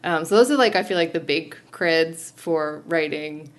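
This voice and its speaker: Chatty woman with a lowish voice. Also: normal speed. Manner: chatty, laughs often, rambling with fillers. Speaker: podcast co-host. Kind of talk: casual chat.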